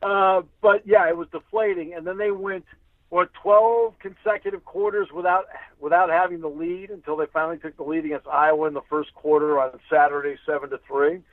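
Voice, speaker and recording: Thin, dry phone sound. Male sports announcer as guest, fairly high voice, conversational and emphatic. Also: fairly even intonation, a little fast, no echo, narrow, tinny audio. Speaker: radio play-by-play announcer as guest.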